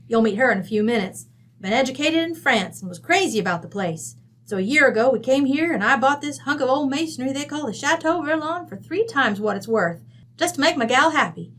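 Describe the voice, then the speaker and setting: Brash, high-pitched Western rancher widow. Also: female voice, a bit bright. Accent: American West. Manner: blunt, slangy, bossy. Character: brash, folksy wealthy widowed cattle rancher from Wyoming. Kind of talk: old-time radio drama acting.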